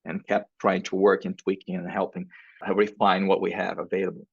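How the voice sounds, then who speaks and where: A man's voice: sits low, flat delivery, brisk, vocal fry, husky, no room sound, highs cut off. A man from Brazil with a Brazilian accent and non-native English phrasing, explaining his research as an interview guest. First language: Portuguese. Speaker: podcast guest, professor and researcher.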